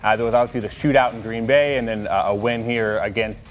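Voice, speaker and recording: A man's voice: mid-range voice, steady tone, quick pace, long reverb tail, faint music behind. An American man talking casually as a sports analyst on a team show.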